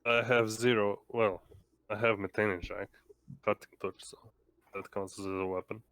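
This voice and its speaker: A man's voice: lowish voice, steady tone, moderate pace, fairly bright tone, dry recording. A man chatting casually as a player in a tabletop roleplaying game.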